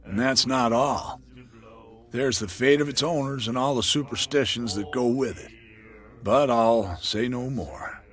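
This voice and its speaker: A man's voice: medium pitch, unhurried, a bit bright, natural voice. A man playing a wary island barkeeper. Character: gruff middle-aged male island barkeeper. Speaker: bartender.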